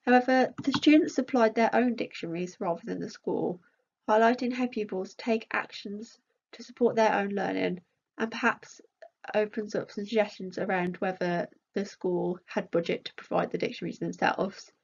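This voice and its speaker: A woman's voice: medium pitch, normal speed, creaky, darkish tone, breathy edge, highs cut off. A woman reading out a scripted academic conference presentation as a researcher.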